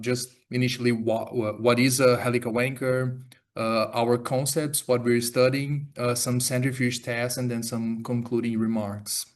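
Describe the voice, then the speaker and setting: A man from Brazil with a Brazilian accent, giving an academic talk as a research fellow, relaxed, with many fillers and restarts. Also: mid-range voice. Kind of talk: academic presentation. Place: lecture theatre.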